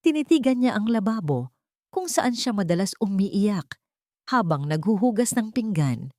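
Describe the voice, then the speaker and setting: A woman reads a scripted dramatic story in a measured, solemn way, phrase by short phrase. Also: mid-range voice, sing-song, a little mellow, no room sound, clean studio sound. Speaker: story narrator.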